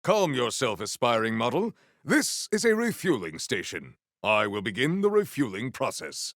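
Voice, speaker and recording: An actor playing a proud, honorable warrior in a video game, speaking in a stately, theatrical, formal way. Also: male voice, mid-range voice, very animated, moderate pace, fairly bright tone, dry recording, clean studio sound. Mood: solemn, resolute. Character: proud, honorable, formal warrior, adult man, earnest and grandiose. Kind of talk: video game character voice lines.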